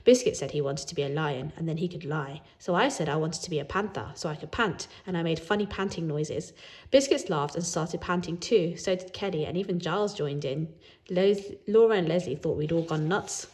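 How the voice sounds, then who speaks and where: A woman reading a children's book aloud in an animated way, as a storyteller who acts out the dialogue. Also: sits low, fairly quick.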